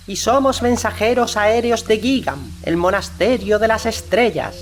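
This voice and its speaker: A woman's voice: medium pitch, a little slow, lightly acted. A woman voicing a giant owl messenger, solemn and deferential, with formal, ceremonious speech in an audiobook. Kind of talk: audiobook character acting. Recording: roomy echo, noisy background.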